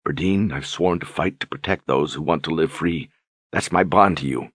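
Man doing a steady, gentle young lord. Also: low-pitched, normal speed, husky, no room sound. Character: calm, steadfast young male lord and protector.

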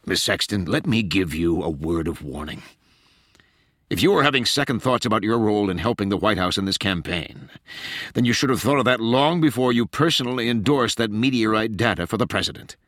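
A man voicing a domineering female senior presidential advisor, raspy, stern and cutting, in a dramatized audiobook. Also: on the low side, animated, fast, fairly bright tone, dry recording, clean studio sound, voice pushed up. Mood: icy contempt.

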